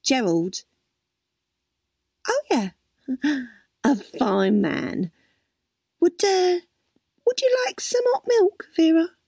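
A woman's voice: sits high, expressive, very slow, long pauses, a little mellow, no room sound, studio quality, pitched above the performer's own voice, exaggerated voice. A woman playing a sly, wheedling young carer. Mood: coaxing.

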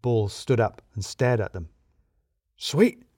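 A man's voice: mid-range voice, normal speed, darkish tone, dry recording. A man giving dry, wry, scripted first-person narration of a comic mystery. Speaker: audio drama narrator.

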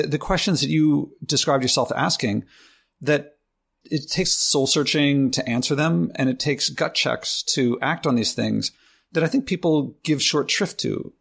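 A man hosting a podcast interview, talking in a halting way with frequent restarts. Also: mid-range voice, gravelly, husky. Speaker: podcast host. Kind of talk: conversational interview.

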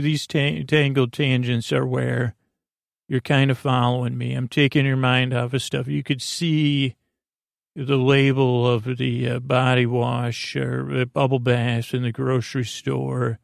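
A man with a gravelly, fry-heavy voice hosts a silly bedtime podcast. He meanders through improvised thoughts in a halting way, doubling back on half-finished words. The recording is close and dry. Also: mid-range voice, level tone, no room sound. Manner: meandering, halting, repeats and trails off mid-word; goofy, silly, self-deprecating. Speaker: podcast host. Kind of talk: rambling, improvised bedtime monologue.

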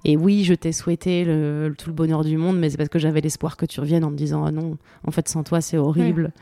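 A woman's voice: deep voice, little pitch movement, brisk, mellow, no echo. A woman on a podcast, enthusiastically talking through song lyrics with many hesitations. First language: French. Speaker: podcast co-host. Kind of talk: casual chat about song lyrics.

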